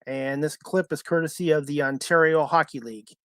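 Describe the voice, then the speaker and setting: A man hosting a podcast in a casual, halting, informal way. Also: on the high side, a bit bright, no echo. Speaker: podcast host.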